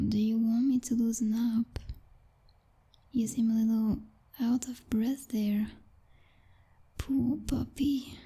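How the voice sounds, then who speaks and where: A woman's voice: fairly high pitch, mellow, husky, heavily acted. A woman playing a jealous, possessive vampire girlfriend in an ASMR roleplay, whispering breathily in a teasing, commanding and condescending way. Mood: teasing, domineering.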